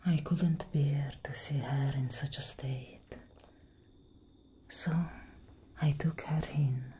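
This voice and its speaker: A young woman playing a brooding, protective elder vampire sister in a soft, breathy, whispered ASMR roleplay. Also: low voice, steady tone, slight background noise. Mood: tender, sorrowful. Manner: breathy, slow, intimate, dramatic.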